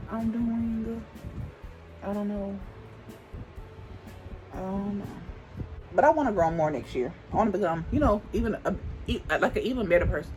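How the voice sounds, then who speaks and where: A woman's voice: medium pitch, low-quality recording. Rambling young woman chatting while doing makeup. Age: 20s. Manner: rambling, thinks aloud with many restarts and "like". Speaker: beauty vlogger. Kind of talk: casual chit-chat while doing makeup.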